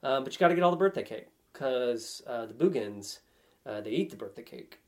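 A man talks casually about video games in a mid-pitched voice. His manner is wry and joking, like a guest on a relaxed talk podcast. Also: fairly quick.

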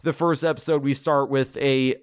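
A man's voice: medium pitch, little pitch movement, normal speed, smooth. A man with a stuffy nose from a cold hosts a casual review chat, rambling and repeating words as he goes. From United States. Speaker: podcast host.

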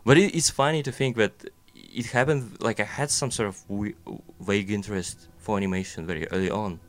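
A man's voice: fairly low pitch, brisk. A young man with a Russian accent, chatting casually and rambling through his thoughts with many fillers and self-deprecating jokes. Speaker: animator guest. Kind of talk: casual conversation.

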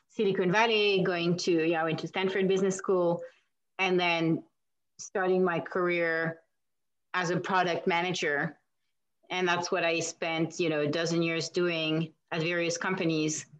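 A woman's voice: lowish voice, lo-fi sound. A woman from France with a French accent, chatting as an interview guest and laughing often as she answers. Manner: warm and chatty, laughs often, many restarts.

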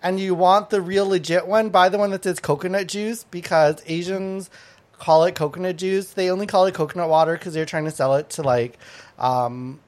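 A woman's voice: on the low side, steady tone, normal speed, a little mellow. Blunt laughing podcast host woman. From Thailand. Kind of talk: casual podcast chat.

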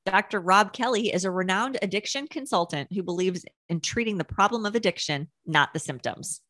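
A woman hosting a podcast, reading a scripted introduction in a polished, measured way. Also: fairly low pitch, dry recording. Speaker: podcast host.

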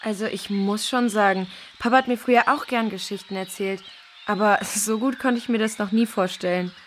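A woman playing a thoughtful, polite young adventurer in a children's audio play, measured in delivery. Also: mid-range voice, a bit bright, slight background noise, lightly acted.

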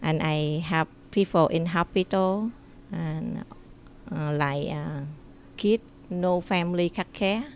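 A woman from Vietnam, a native Vietnamese speaker, answers interview questions slowly in simple, hesitant English. Her slightly low voice has a gravelly edge, and faint noise hums behind her. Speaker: interview guest.

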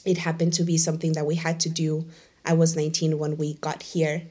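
A young woman from Venezuela with a notably low voice, speaking as an interview guest. She talks reflectively, in quick bursts, leaning on "like" as she thinks. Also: completely flat delivery, dark tone. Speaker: Spanish teacher, guest. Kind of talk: candid conversational interview.

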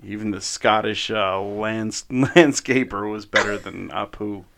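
A man's voice: lowish voice, fairly even intonation, a little slow, gravelly, a bit bright, slight background noise. A man co-hosting a casual comedy podcast, making dry wisecracks. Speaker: podcast co-host.

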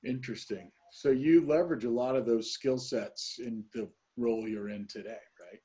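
A middle-aged man hosting a conversational business interview, with a husky, slightly dark voice. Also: medium pitch, moderate pace. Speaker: podcast host, interviewer.

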